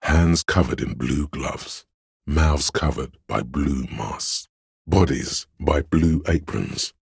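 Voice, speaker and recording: A man voicing an omniscient inner voice of police camaraderie in a detective video game, narrating gravely and theatrically in a husky, gravelly voice. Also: slow pace, no room sound, clean studio sound, heavily acted. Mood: grave, measured. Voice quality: very deep gravelly husky rasp. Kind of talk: dramatic literary game narration.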